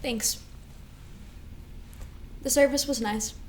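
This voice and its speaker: An actress plays a grieving elder sister in a stage drama reading. She is a woman with a slightly high voice, composed and responsible in how she carries herself. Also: fairly even intonation, slow. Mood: guarded, tense.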